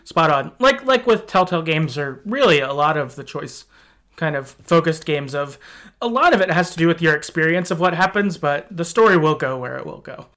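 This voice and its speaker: Guy podcast host, kinda high voice, halting casual game chat. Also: lively intonation, fairly bright tone. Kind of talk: casual video game discussion.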